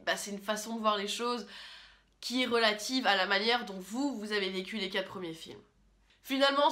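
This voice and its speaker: A young woman talking casually and with strong opinions as she reviews films into a camera in a small room, often pausing on fillers. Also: medium pitch, pushed and bright. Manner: chatty, opinionated, with frequent fillers. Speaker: film critic host. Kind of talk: casual film review vlog.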